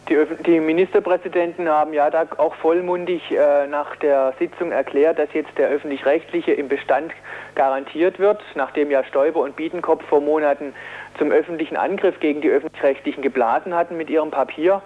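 Through slightly muffled, band-limited radio audio comes a man with a fairly high voice. He answers political interview questions spontaneously, hesitating often and repeating words as he goes. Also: steady tone, brisk. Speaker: Green politician, media policy spokesman.

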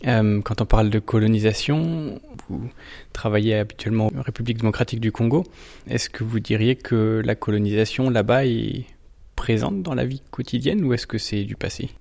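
Creaky-voiced male interviewer. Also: lowish voice, normal speed, a little mellow. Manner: hesitant, with frequent filler sounds. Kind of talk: interview questions.

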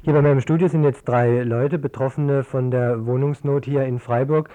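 A man's voice: mid-range voice, steady tone, normal speed, dark tone. A man hosting a radio programme, introducing studio guests in an informal interview style. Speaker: radio host. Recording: dull lo-fi radio tape. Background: faint music.

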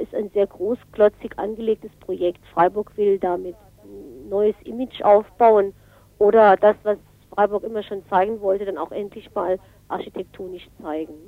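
A woman's voice: medium pitch, no echo. A woman on a narrow, phone-like line. She explains her position off the cuff as an interviewed local politician, in an ordinary mid-range voice. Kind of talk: radio interview, spontaneous explanation.

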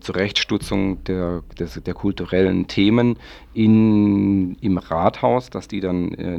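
Deep, creaky-voiced male radio presenter. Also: a little mellow, dry recording, muffled recording. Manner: halting, hesitant, long winding sentences. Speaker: radio magazine host. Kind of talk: semi-improvised local news presentation. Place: radio studio.

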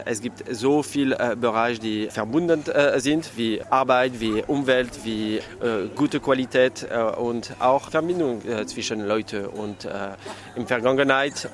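A non-native man speaking haltingly and searching for words in simple phrases, interviewed at an outdoor market. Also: medium pitch, a little hiss or hum. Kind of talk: informal interview answer.

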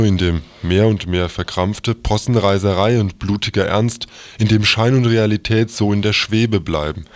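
A man with a slightly low voice reads a written review aloud. The delivery is scripted, as a quotation from a newspaper, and the sound is dry with no room on it. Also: no echo. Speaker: reader of quoted articles.

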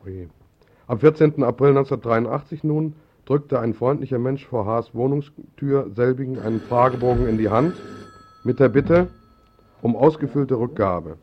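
A man's voice: medium pitch, moderate pace. A man presenting on the radio, making announcements and reading scripted narration in a studio. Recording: dull lo-fi 1980s radio recording.

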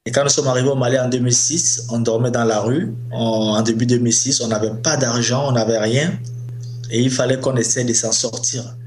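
A man's voice: medium pitch, fairly even intonation, fast talker, darkish tone. A man with a Cameroonian accent speaking as an activist in an interview, making his points with emphatic, repeated phrasing. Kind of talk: spoken interview testimony. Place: living room of a flat. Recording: echoey room, faint hum.

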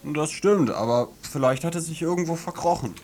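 Man acting a higher-pitched, placating bystander. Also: normal speed, a little mellow, close to an everyday voice. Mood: calm, placating. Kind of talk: acted reading in a radio feature.

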